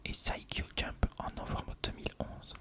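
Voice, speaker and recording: An ASMR narrator reads an encyclopedia-style article entirely in an unvoiced whisper. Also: moderate pace.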